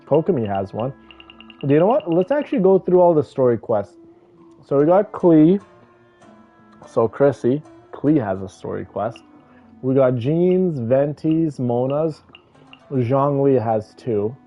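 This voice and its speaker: A man chats casually as a livestream host, addressing his viewers like old friends. His voice sits at an average pitch. The audio is a little dull and lo-fi, with music playing faintly behind him. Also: big pitch swings, a little slow. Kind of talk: casual livestream chat, reading names and greeting viewers. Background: background music.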